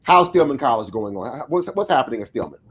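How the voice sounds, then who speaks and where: A man hosting an online alumni show, chatting casually and shouting with excitement as he brings on guests, speaking over a video call. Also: medium pitch, fast talker, husky. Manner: excitable, shouts and whoops when introducing guests. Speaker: show host. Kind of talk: hype introduction and casual interview chat.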